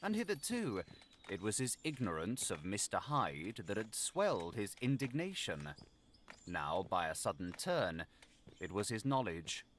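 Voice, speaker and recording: A man narrating a literary audiobook in a dramatic style with formal, deliberate phrasing. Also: on the low side, very animated. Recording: audiobook under loud vehicle engine noise.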